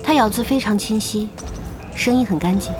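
A woman playing a composed, perceptive young gamer in an audio drama, speaking in a measured, gentle way. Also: mid-range voice, over music, natural voice. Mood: calm, probing.

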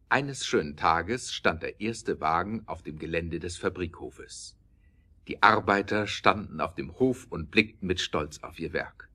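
Deep guy doing scripted storytelling narration for an audio play, really lively intonation.

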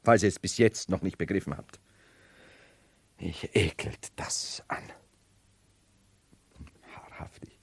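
A man's voice: sits low, slow, gravelly, pauses often, breathy edge, dry recording, heavily acted. An actor playing a brooding nobleman who feigns madness as a medieval emperor, theatrical and mercurial, in a 1970s radio play. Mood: bitter, disgusted. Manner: theatrical, mercurial, dwelling on words then bursting out.

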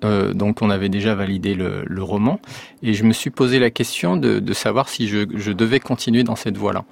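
A man's voice: on the low side, fairly even intonation, a little fast, gravelly. A man in his forties, born in France, a novelist answering radio interview questions with many fillers and restarts. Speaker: novelist guest.

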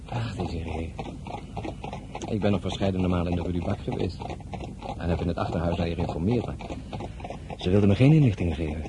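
An actor playing a courtly, confident general in love in a radio drama, speaking with formal gallantry. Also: male voice, low voice, brisk, pauses often, heavy background noise, natural voice. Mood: tender, confident.

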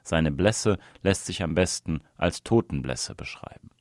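Man, deep voice, scripted article narration, dry close recording. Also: lively intonation, measured pace, no echo. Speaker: reader of an audio article.

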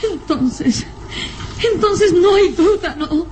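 An actress playing a fragile, frightened young woman in a melodramatic radio serial, halting and anxious but insistent. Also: very high-pitched, animated, a little mellow, exaggerated voice. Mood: tearful dread. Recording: noisy vintage radio drama recording.

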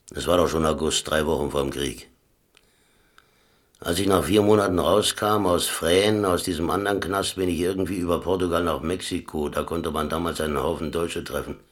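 Casting: wry ex-convict adventurer, radio play, low voice, colloquial, dry, musing delivery. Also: male voice. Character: laconic, wry German ex-prisoner and adventurer telling his story. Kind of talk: radio drama acting and first-person narration.

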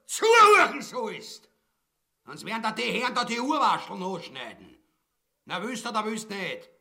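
A man's voice: high-pitched, big pitch swings, fairly quick, creaky, bright, forceful tone, reverberant, far from the mic, exaggerated voice. A man with an Austrian-Bavarian accent playing a blustering, impatient, menacing tax collector in a grotesque radio play. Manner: blustering, cursing by comic saints.

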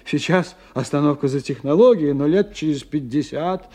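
An actor in a radio play voicing a learned, courteous scientist, skeptical and a little bemused, who phrases his thoughts hesitantly and deliberately. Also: a little high, darkish tone, close to an everyday voice. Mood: musing, deliberate. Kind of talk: 1990 Russian radio play dialogue.

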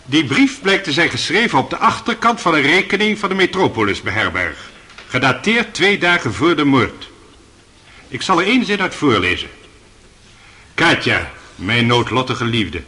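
An actor playing a stern, authoritative presiding judge in a radio play, formal and commanding. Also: male voice, medium pitch, very animated, normal speed, sharp tone. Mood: formal, measured.

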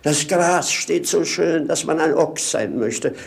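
An actor playing a tipsy, lazy rogue with clownish mock pomposity, in 1950s German radio drama style. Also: male voice, a little high, takes it slowly, vocal fry. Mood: mock-sentimental. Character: tipsy, lazy male rogue, clownish self-satisfied idler. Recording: 1950s radio play recording.

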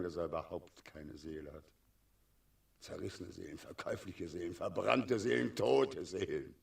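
A man's voice: fairly low pitch, creaky. An actor playing a cynical, tyrannical dragon in 1960s radio drama, sardonic and commanding as he savours each word. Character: cynical, tyrannical male dragon ruling a town. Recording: 1960s radio recording, distant from microphone.

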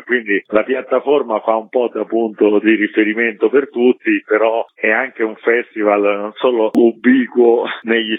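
A man's voice: fairly low pitch, no echo. A man, a festival's artistic director, explaining his program in a radio interview over the phone. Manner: explanatory, measured. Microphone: phone call. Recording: tinny phone line, dry.

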